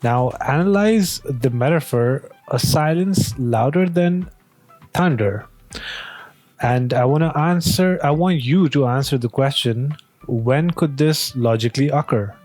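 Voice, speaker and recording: A man hosting a casual question-and-answer chat, speaking in a somewhat hesitant, halting way. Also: mid-range voice, a little mellow, no room sound, soft background music. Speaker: host, interviewer testing an AI voice.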